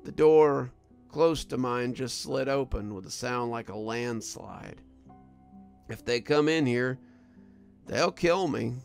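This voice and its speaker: A man voicing a wounded, delirious prison guard sergeant in a horror story, speaking in a weary, halting, frightened way. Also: medium pitch, gravelly, clean tone, soft background music. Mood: weak, fearful, then dazed resignation. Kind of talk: first-person horror story narration.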